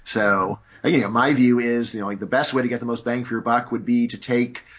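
A man's voice: medium pitch, steady tone, fairly quick, muffled recording. A man speaking as a guest in a conversational interview, arguing his views at length and leaning on "you know" and "like". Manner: argumentative, lots of "you know" fillers.

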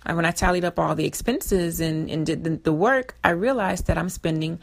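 A woman hosting a radio show on personal finance, slightly hoarse, giving step-by-step instructions and repeating her key points. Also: on the low side, fairly quick. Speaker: financial advice show host. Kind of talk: radio advice talk.

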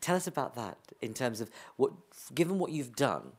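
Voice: mid-range voice, sing-song, normal speed. A panel moderator asking a long question that keeps correcting itself mid-sentence. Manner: rambling, self-correcting questions.

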